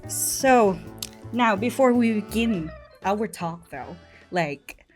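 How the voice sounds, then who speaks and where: A woman's voice: mid-range voice, animated, slow, faint music behind. A woman, hosting a casual talk show interview in an informal, giggly manner. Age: 20s. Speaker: host.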